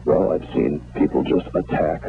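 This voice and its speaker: A man's voice: rumbling low, moderate pace, vocal fry, a little hiss or hum. A man phoning in to a radio call-in show, heard over a phone line. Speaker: radio call-in prank caller.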